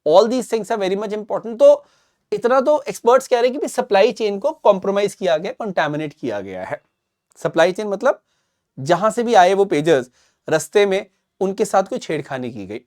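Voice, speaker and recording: A man's voice: high-pitched, big pitch swings, normal speed, darkish tone. A man with an Indian accent presents current affairs analysis in an emphatic, repetitive explanatory way, switching between two languages. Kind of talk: explanatory news analysis to camera.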